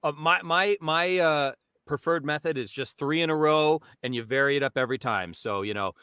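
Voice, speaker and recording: A man working as a voice actor, coaching casually in an animated, demonstrative way. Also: fairly high pitch, normal speed, clean tone, no room sound, clean studio sound. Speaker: professional voice actor giving coaching. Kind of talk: casual instructional chat with demonstration reads.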